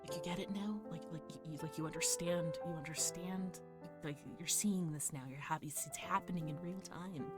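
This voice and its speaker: A woman gives casual livestream game commentary in a fairly low voice. She rambles emphatically and repeats herself, with clear game music behind her. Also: steady tone, normal speed.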